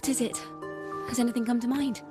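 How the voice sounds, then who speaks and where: A woman's voice: on the high side, steady tone, fast, over loud music, natural voice. An actress playing a poised young woman bard in a video game, encouraging and poetic in manner. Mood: curious.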